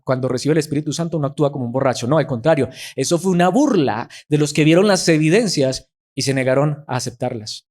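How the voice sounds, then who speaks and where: A man's voice: on the high side, darkish tone. A male pastor preaching a sermon, acting out quoted voices and asking the congregation rhetorical questions.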